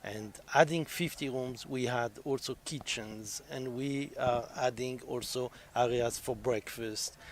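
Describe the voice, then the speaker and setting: Guy, lots of uhs, formal and halting, bit of hiss behind him. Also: medium pitch. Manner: halting, many uh fillers, formal. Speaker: hotel manager guest. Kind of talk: interview answers. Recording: faint background noise.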